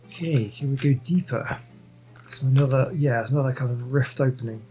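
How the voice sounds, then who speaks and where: A woman's voice: a bass voice, brisk, warm tone, soft background music. A woman giving casual game commentary, thinking aloud in a hesitant, conversational way. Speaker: gamer playing and commenting.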